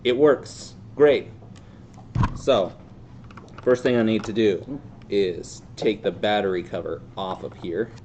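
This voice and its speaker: A man in his thirties casually hosting a hands-on how-to demonstration in a deadpan, self-deprecating way. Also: on the low side, slow pace, pauses often. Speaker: host of a fan group's how-to video. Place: indoor tabletop video shoot. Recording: clear background noise.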